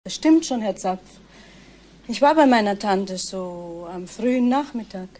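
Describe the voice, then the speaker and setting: An actress playing a reserved, matter-of-fact niece questioned by police in a German crime radio play. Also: female voice, medium pitch, a little slow, mellow, close to an everyday voice. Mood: guarded.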